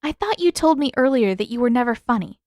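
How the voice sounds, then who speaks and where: A woman's voice: fairly high pitch, animated, quick pace, a little mellow, dry recording, voice pushed up. A woman acts out a teenage girl in an audiobook story. The voice is raised and dry, grumbling and mopey with a teasing edge. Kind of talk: audiobook character acting.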